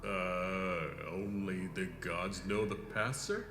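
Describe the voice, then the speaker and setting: An actor playing a dutiful, loyal security chief in a sci-fi audio drama, formal, deferential and hesitant. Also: male voice, deep voice, fairly even intonation, takes it slowly, a bit bright, soft background music. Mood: uncertain. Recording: echoey hall, faint background noise.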